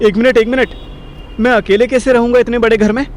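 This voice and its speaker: A man's voice: very high-pitched, steady tone, brisk, a little hiss or hum. An actor playing a reluctant, sarcastic, foul-mouthed young man who grumbles and wisecracks in a Hindi audio drama. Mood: wry.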